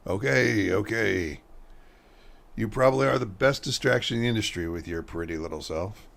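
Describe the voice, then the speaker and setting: Man acting a gravelly washed-up boastful magician. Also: fairly low pitch, moderate pace, fairly bright tone. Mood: boastful, condescending. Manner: pompous, wistful, condescending. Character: washed-up, boastful, alcoholic male stage magician. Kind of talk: radio mystery play acting.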